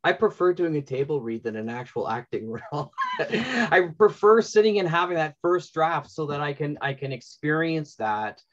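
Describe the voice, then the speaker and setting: A man's voice: fairly high pitch, very animated, moderate pace. A middle-aged man moderating a casual panel discussion over a lo-fi video call. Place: indoor room at home. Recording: dull lo-fi video call audio.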